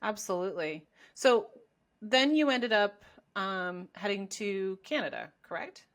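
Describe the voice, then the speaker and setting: A woman interviewing a guest, asking questions in a gentle, encouraging way with short affirming replies. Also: medium pitch, unhurried. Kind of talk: interview questions on a video call.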